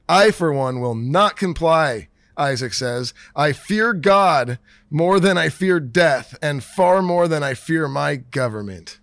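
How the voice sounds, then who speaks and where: A man's voice: on the high side, expressive, a bit bright, dry recording. A man co-hosting a casual talk show who is losing his voice, hesitantly reading out a news article. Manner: hesitant, reads an article slowly. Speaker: podcast co-host.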